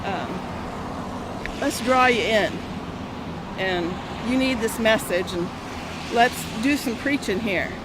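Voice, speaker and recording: A woman's voice: a little high, animated, slow, pauses often, heavy background noise. A woman, a protest church member, explains her views outdoors in a casual, plain-spoken way.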